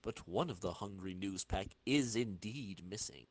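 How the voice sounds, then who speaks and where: A man's voice: lowish voice, moderate pace. A man hosting a podcast, narrating a comic dramatic reading and bantering with others.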